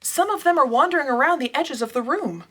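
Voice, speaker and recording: A woman's voice: high-pitched, animated, a little fast, bright, forceful tone, slight background noise. A woman playing an unflappable, literal-minded sidekick, speaking precisely and formally in a comic sci-fi audio drama. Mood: informative. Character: literal-minded, unflappable female sidekick reporting scan results.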